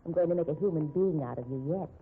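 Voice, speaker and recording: An actress playing strong-willed women of a detective story in an emphatic 1950s radio drama style, with a husky voice on an old muffled recording. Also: female voice, low-pitched, racing, a little hiss or hum. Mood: teasing, coaxing. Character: women in a detective story: cajoling girlfriend, bitter rescued patient.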